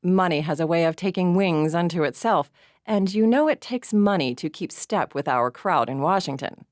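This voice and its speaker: A composed young gentleman, calm and lightly wry, never raising his voice, as a female storyteller reads him. The tone stays low and even, close to the mic in a dry, deadened space. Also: normal speed, dry recording, close to an everyday voice. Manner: calm, light, understated delivery. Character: composed young man, wry and smooth-mannered.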